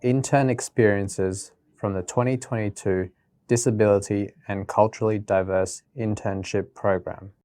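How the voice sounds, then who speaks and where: Low-voiced man reading interview questions. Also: steady tone, moderate pace, mellow, dry recording. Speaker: interviewer and announcer. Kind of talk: scripted questions and title read.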